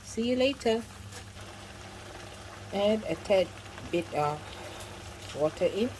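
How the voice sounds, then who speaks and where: A woman's voice: medium pitch, animated, takes it slowly, a little mellow, a little hiss or hum, rough recording. A middle-aged woman hosting a home cooking demonstration, giving chatty step-by-step instructions with frequent asides. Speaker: cooking channel host. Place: home kitchen.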